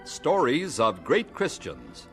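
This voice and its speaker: A man's voice: mid-range voice, wildly swooping pitch, slow pace, fairly bright tone, faint music behind. An American man working as announcer and interviewing narrator in a scripted radio drama.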